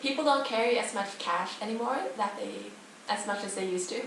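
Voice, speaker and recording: A woman's voice: mid-range voice, fairly quick, fairly bright tone. Young woman co-presenting in an echoey room. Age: teenager or young adult. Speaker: student co-presenter of a mock 1950s TV broadcast. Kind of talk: semi-scripted presentation. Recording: very echoey room, distant mic, faint noise.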